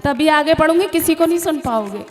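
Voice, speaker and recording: High-voiced woman singing verse on stage. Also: animated, big-room sound. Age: young adult. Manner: repeats each couplet, sings refrains slowly, chats quickly between verses. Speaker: poet at a mushaira. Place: stage at a large poetry gathering.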